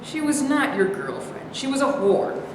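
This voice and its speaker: A woman's voice: sits high, animated, normal speed. Woman acting a scornful critic in echoey hall. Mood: sardonic. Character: scornful, blunt woman mocking a man's self-deceptions. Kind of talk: staged play reading. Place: live staged reading in a reverberant room. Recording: very echoey hall with clear background noise.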